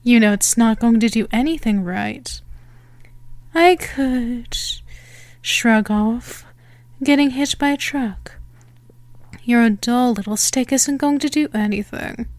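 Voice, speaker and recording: Actress as female vampire for ASMR roleplay: higher-pitched, unhurried, haughty and menacing, very dry close recording. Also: a little mellow, dry recording. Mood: smug, commanding. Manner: teasing, theatrical, deliberate phrasing.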